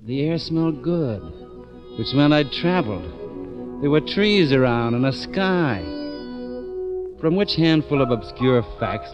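An actor playing a hardboiled police lieutenant who narrates his own case in a dry, clipped, sardonic way, in an old radio drama. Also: medium pitch. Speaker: narrator and detective. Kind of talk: 1940s radio acting. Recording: muffled old radio recording.

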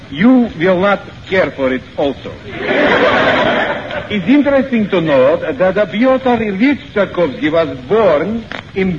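High-pitched, pompous Russian music professor. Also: male voice, full character voice. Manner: grandly lecturing, deadpan nonsense. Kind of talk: 1940s radio comedy monologue. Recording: muffled, noisy old radio broadcast.

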